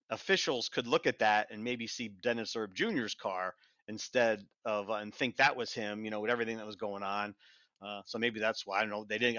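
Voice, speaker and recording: Muffled lo-fi podcast audio, american guy a bit low but bright, rambles and restarts while chatting about racing. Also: dry recording. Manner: rambling, repeats and restarts phrases. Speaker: motorsport reporter, podcast panelist. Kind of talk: casual race discussion. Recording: dull lo-fi, very dry sound.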